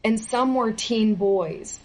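A woman's voice: fairly high pitch, slow pace, a little mellow. A middle-aged woman answering interview questions as a former federal employee, speaking earnestly and stressing key words with indignation.

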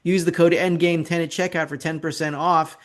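A man's voice: on the high side, little pitch movement, moderate pace. A man hosting an investing video report, talking through charts in a casual, opinionated, rambling way with sarcastic asides. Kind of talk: casual market commentary with slides.

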